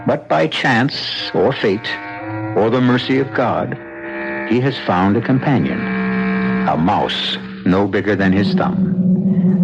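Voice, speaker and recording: A man hosting and narrating an old radio mystery in a solemn, deliberate, dramatic style. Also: lowish voice. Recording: dull old radio recording with music.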